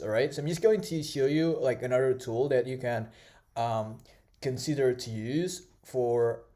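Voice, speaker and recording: A man's voice: mid-range voice, mellow. A young man whose first language is Indonesian, teaching an online course over a screen recording in a casual way, full of fillers and "right?" checks. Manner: casual, many fillers and tag questions.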